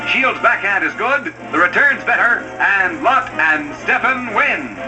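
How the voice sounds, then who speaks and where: A man reads vintage newsreel commentary in a high-pitched voice. His delivery is dramatic and declamatory, like a scripted announcer, with prominent music playing behind him on an old soundtrack. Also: animated, measured pace, rough recording. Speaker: newsreel narrator.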